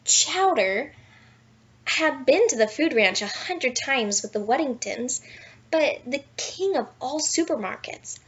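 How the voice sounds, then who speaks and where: High-pitched woman reading a bedtime story. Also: slow pace, lo-fi sound. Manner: expressive, playful, dramatic emphasis. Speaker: storyteller reading a children's picture book aloud. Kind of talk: animated bedtime story read-aloud with asides.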